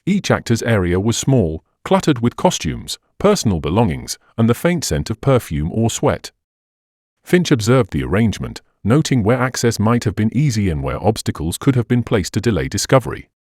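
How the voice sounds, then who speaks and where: Male mystery narrator: measured, dramatic, lowish voice, expansive intonation, dry studio-like sound. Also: sing-song, a little mellow, no room sound. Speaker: audiobook narrator. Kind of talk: scripted narration of a detective mystery.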